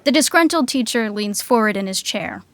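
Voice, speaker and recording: A woman's voice: a little high, animated, moderate pace, slight background noise. A woman reading scripted comedic narration for a sitcom. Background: music.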